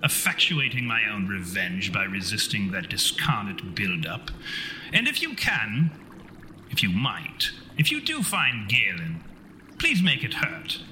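A man's voice: on the low side, sing-song, a little slow, sharp tone, full character voice. A man voicing the vengeful ghost of a conceited, long-winded parapsychologist in a tabletop horror game, pompous and theatrical in formal speech. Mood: vengeful, aggrieved. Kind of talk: tabletop horror role-play. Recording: reverberant hall sound, noisy ambience behind.

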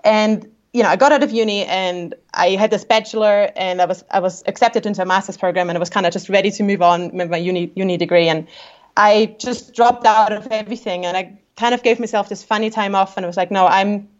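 A woman's voice: mid-range voice, fairly even intonation, fairly quick, dull audio. A woman in her twenties from Austria, with an accent mixing Austrian and New Zealand tones, chatting as a podcast guest, often laughing and restarting her sentences. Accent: Austrian with a New Zealand tinge. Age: 20s. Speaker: podcast guest, travel photographer. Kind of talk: casual interview chat.

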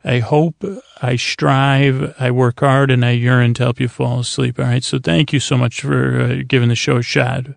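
A very gravelly, creaky man recorded close and dry. He hosts a bedtime show in a lulling, long-winded ramble, halting often and wandering off in a friendly, soothing way. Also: medium pitch, little pitch movement, no room sound. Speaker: bedtime podcast host.

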